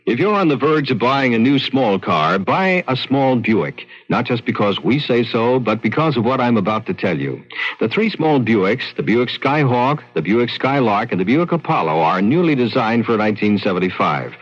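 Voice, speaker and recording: A male commercial announcer reads a scripted 1970s radio spot. His medium-pitched voice has animated intonation, and the broadcast is a bit dull in tone. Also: moderate pace.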